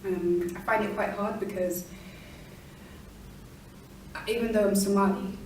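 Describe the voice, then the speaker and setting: A woman's voice: mid-range voice, fairly even intonation, unhurried, a little mellow, a little hiss or hum. A young woman, musing in an interview and hedging her words, in an indoor room. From London, England. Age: young adult. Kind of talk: reflective interview answers. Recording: echoey room, mic far off.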